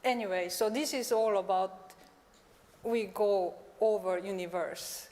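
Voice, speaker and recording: A woman from South Korea, a native Korean speaker, gives an informal design talk in animated, fragmented phrases. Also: medium pitch, a little slow. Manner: animated, fragmented, peppered with 'like'. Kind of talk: informal conference presentation. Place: large conference hall on stage. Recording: reverberant hall recording.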